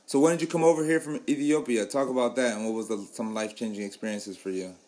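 A man's voice: mid-range voice, fast talker. Male interviewer, mid-range voice, easygoing and encouraging. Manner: relaxed, encouraging prompts. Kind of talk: casual interview.

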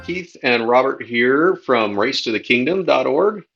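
An American man hosting a religious podcast in a casual, halting way, often repeating words as he goes. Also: mid-range voice, expressive, gravelly, lo-fi sound, music in the background. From United States. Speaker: podcast host.

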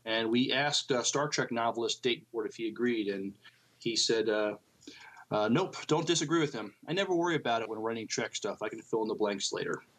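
A man co-hosting a podcast, reading out entertainment news and commenting in a somewhat halting, unpolished way. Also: mid-range voice, animated, a bit bright. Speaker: podcast co-host.

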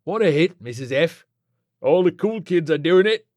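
A man's voice: fairly high pitch, expressive, moderate pace, darkish tone, dry recording, studio quality, pitched above the performer's own voice, lightly acted. A man voicing a cheeky, carefree rock musician in a story, matey, teasing and crowing. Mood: coaxing. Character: cheeky, carefree male rock musician, drug-pushing party animal.

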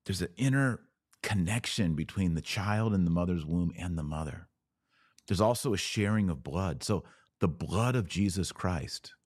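A man's voice: deep voice, a little mellow, dry recording, clean studio sound. A man hosting a religious podcast, talking informally and emphatically, often with rhetorical questions and repeated phrases.

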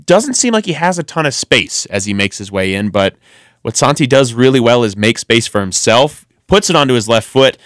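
Smooth-voiced man talking soccer. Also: mid-range voice, animated, moderate pace, fairly bright tone, dry recording, studio quality. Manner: rambling with frequent ums and restarts. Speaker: podcast co-host. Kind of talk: casual sports chat. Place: radio studio.

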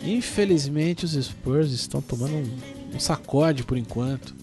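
A man's voice: a little high, moderate pace, over music. A man with a São Paulo Brazilian accent and a cold, hosting a casual sports podcast in a rambling, self-deprecating, slangy way. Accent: São Paulo Brazilian Portuguese. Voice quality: congested from a cold. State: has the flu. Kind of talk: casual sports news chat.

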